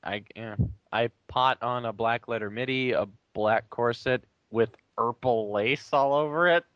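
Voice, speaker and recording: A man's voice: mid-range voice, unhurried, dry recording. Sardonic man on a dull, lo-fi mic. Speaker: player in a dramatic reading game. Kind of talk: comic reading aloud and banter.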